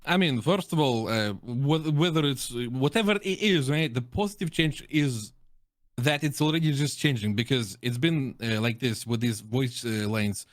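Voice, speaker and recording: A man with a Russian accent chats casually in a mid-pitched voice, recorded dry with very little room sound. He talks like a streamer guest, exaggerating for jokes as he shares his opinions. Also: normal speed, dry recording. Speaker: guest, game streamer and content creator. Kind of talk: casual chat, giving opinions on game audio.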